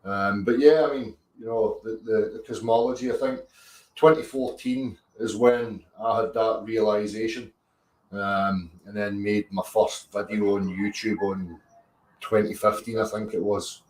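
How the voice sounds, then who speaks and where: A reserved man, interviewed as a guest over a live call, speaks softly and hesitantly with many restarts. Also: on the low side, fairly even intonation, a little slow. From Scotland. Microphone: remote call mic. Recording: distant echoey mic on a live call.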